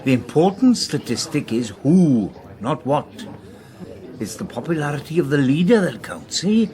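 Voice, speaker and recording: An actor playing a shrewd, scornful Welsh politician in a radio drama, opinionated and lecturing. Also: male voice, fairly high pitch, very animated, moderate pace, dark tone.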